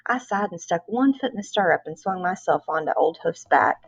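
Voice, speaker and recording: A woman reading a children's novel aloud in an expressive, animated storytelling style. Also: on the low side, a little fast, creaky, breathy edge, dry recording. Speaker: read-aloud reader. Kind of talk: expressive children's book narration. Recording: dull, lo-fi close recording.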